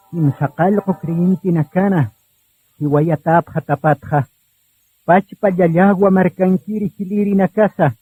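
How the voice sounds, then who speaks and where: High-pitched man narrating, tinny radio sound. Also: breathy edge. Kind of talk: scripted radio drama narration, solemn storytelling. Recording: tinny narrow-band radio sound with music. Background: music.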